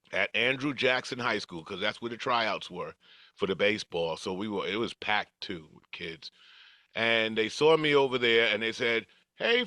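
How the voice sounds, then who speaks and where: A man's voice: medium pitch, a bit bright, no echo. An older man telling stories from his life as a podcast guest, casual, chuckling through anecdotes and acting out dialogue. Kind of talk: casual reminiscing storytelling.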